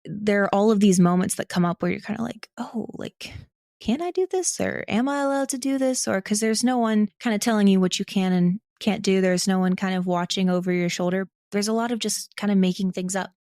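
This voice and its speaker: A woman talks as a podcast guest in a casual, candid way. Her voice has a middling pitch and a dry, close sound, and she peppers her talk with "like" while quoting herself. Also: fairly quick, no room sound. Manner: candid, animated self-quoting, frequent "like". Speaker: podcast guest, audio drama creator. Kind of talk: casual interview chat.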